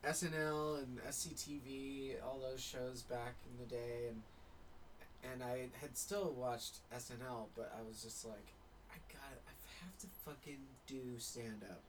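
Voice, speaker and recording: A man's voice: mid-range voice, measured pace. Laid-back comedian man over background noise. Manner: relaxed storyteller, casual swearing. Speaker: stand-up comedian guest. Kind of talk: casual chat. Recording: noisy background.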